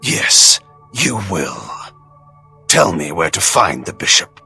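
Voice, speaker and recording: An actor voicing an arrogant, menacing vampire who speaks in a haughty, formal, commanding manner in a video game. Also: male voice, a bass voice, big pitch swings, slow pace, vocal fry, bright, forceful tone, husky, no room sound, faint music behind, exaggerated voice. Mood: demanding. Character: arrogant, menacing male vampire, imperious and threatening. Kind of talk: theatrical video game voice acting.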